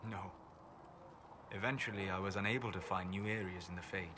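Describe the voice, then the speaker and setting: Man acting a low-voiced, scholarly elven mage. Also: steady tone, lightly acted. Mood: reflective. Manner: measured, formal, thoughtful phrasing. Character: calm, learned elven apostate mage, reserved and scholarly. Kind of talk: video game dialogue acting. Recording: clear ambient noise behind game dialogue.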